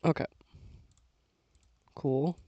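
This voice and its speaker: A young man giving casual, self-talking commentary while building with LEGO, losing his voice and clearing his throat now and then. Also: very slow, no echo, dull audio. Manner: relaxed, mutters to himself while building.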